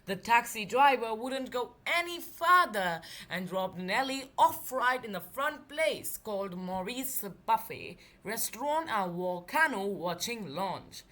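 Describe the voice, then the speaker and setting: A woman reads a children's storybook aloud. Her voice is bright and forward, pitched a little high, and she tells it expressively. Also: lively intonation, a little slow, hardly any pauses. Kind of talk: expressive children's book narration.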